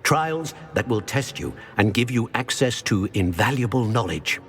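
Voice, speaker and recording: An actor voicing a solemn, ancient male wizard in a talking portrait for a video game, speaking in a grave, measured and formal way. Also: mid-range voice, lively intonation, natural voice. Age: older. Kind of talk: video game cutscene acting.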